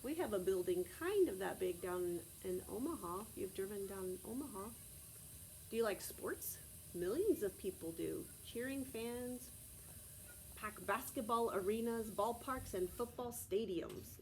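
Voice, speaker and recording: A woman's voice: mid-range voice, lively intonation, unhurried, a little mellow, slight background noise, rough recording. An American woman, a preschool teacher from Texas, reads aloud to young children in simple phrases, stopping to explain and ask questions. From Texas, United States.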